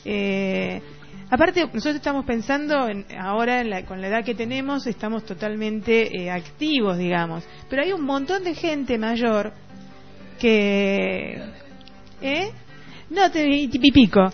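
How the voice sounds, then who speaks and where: A woman's voice: on the high side, lively intonation, unhurried. A middle-aged woman with a Rioplatense Argentine accent, talking reflectively and casually as a radio host, often searching for words. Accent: Rioplatense Argentine Spanish. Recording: dull lo-fi radio recording, music behind. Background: music.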